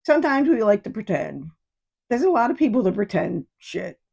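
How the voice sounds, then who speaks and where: A woman's voice: mid-range voice, very animated, normal speed, gravelly, a little mellow, slightly rough, highs cut off. A woman in her fifties from New York State, hosting a solo podcast in a rambling, digressive and profane way. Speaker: podcast host. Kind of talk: casual solo podcast monologue.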